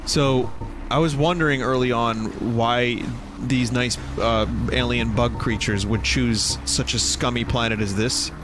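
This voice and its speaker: A man's voice: mid-range voice, steady tone, measured pace, hardly any pauses. A man casually commenting while he plays a video game, rambling and joking with playful wordplay. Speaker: let's play host. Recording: loud game music behind voice. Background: game music and sound effects.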